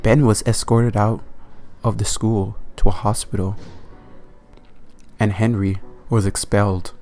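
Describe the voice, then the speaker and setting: A man with a fairly low voice tells a story casually, swearing freely and leaning on words for comic emphasis. Soft music plays behind him as he narrates. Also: level tone. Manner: profane, informal, drawn-out emphasis.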